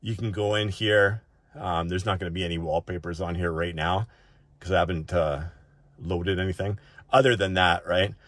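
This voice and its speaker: Guy with a lowish voice doing a laid out settings tutorial, informal and off the cuff, dry recording. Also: steady tone, moderate pace, a bit bright, no room sound. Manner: informal, often ends points with a checking "right?". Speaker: tech reviewer presenting a settings walk-through. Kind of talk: casual unscripted tutorial.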